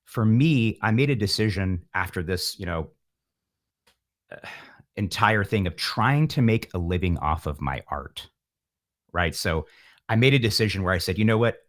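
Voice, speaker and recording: Man, low pitch, casual interview guest, animated, emphatic, playful. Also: a little slow. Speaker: podcast guest, musician.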